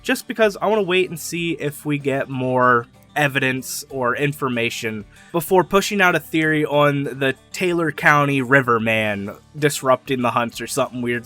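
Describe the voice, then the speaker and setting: A young man hosting his own channel, rambling enthusiastically through fan theories in casual solo talk, laughing now and then and getting a little hoarse. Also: medium pitch, pushed and bright. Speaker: YouTube theory channel host.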